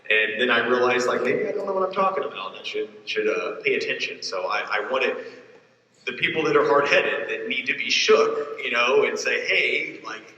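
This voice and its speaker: Halting man on an echoey panel. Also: mid-range voice, lively intonation, bright, forceful tone, recorded from a distance, muffled recording, a little hiss or hum. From Atlanta, US. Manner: earnest, reflective, halting. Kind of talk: panel discussion. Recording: echoey hall, mic from afar.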